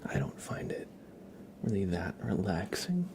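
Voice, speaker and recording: A male ASMR streamer speaks in a breathy whisper, close to the mic. His delivery is gentle and unhurried, dipping into gravelly murmurs and lifting playfully with a lively swing in pitch. It feels like casual, quiet chat with viewers.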